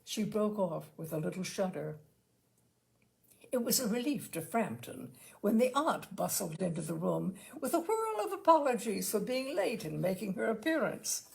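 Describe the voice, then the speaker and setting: A woman narrating a literary short story with dramatic, deliberate phrasing. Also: mid-range voice, sing-song, vocal fry. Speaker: story reader. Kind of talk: expressive scripted literary narration.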